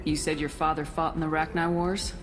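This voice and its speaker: An actress playing a curious, composed space soldier in a video game, asking questions in a measured, probing way. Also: female voice, low voice, little pitch movement, over music, lightly acted.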